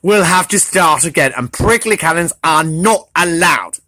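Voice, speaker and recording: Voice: medium pitch, animated, unhurried, sharp tone, no room sound. A reader as a firm, grumpy storybook badger. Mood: tutting. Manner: firm, scolding.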